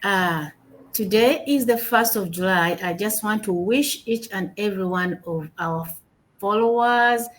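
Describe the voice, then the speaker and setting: A woman's voice: mid-range voice, measured pace. Woman host of a livestreamed business chat. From Uganda. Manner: upbeat, repeats words, frequent fillers. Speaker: webinar host. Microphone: home computer mic on a video call.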